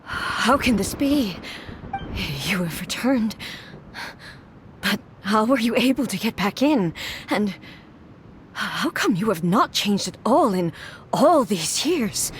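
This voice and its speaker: A woman voicing a formal, measured female warrior god in a game. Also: mid-range voice, lively intonation, a little hiss or hum. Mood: astonished.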